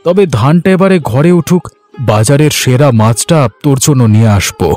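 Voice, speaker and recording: Casting: male actor as humble cartoon farmer dad, highish voice, gentle and homely, light music bed. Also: animated, brisk, lightly acted. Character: poor, gentle village farmer and father. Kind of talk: Bengali children's cartoon voice acting.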